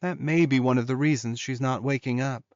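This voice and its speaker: A man voicing a caring, maternal female werewolf doctor in her mid-forties, explaining things in a measured audiobook style. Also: mid-range voice, dry recording, lo-fi sound, lightly acted. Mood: concerned, thoughtful. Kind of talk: audiobook character dialogue.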